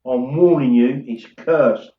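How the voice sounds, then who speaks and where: A man's voice: medium pitch, echoey room, natural voice. A man acting a threatening, mysterious guardian of cursed treasure in an amateur radio play, on a muffled, noisy home recording. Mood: menacing. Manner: slow, threatening.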